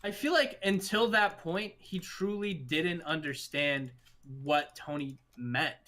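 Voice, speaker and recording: Bright-voiced young man, emphatic and slangy. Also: fairly high pitch, slow pace. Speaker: host of a movie discussion livestream. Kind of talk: casual chat.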